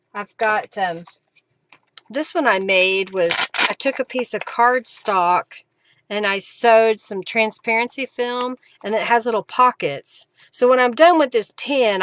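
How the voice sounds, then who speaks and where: A woman's voice: a little high, fairly bright tone. Casual woman crafting on a noisy livestream. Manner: informal, talks to herself and viewers while working. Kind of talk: casual step-by-step craft demonstration, thinking aloud. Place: live stream while working with craft materials.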